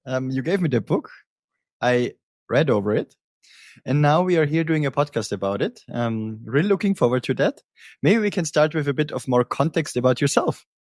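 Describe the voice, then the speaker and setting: A man hosting a podcast interview, speaking in a measured, reflective way with frequent ums. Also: medium pitch, lively intonation, darkish tone, no echo, studio quality. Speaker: podcast host. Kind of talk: conversational interview.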